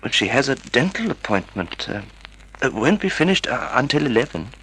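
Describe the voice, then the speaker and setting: An actor playing a timid, polite, half-blind older husband who hesitates and stammers, in an old-time radio drama. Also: male voice, medium pitch, big pitch swings, fairly quick, fairly bright tone, slightly rough, low-quality recording. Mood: polite, hesitant. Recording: vintage radio drama recording.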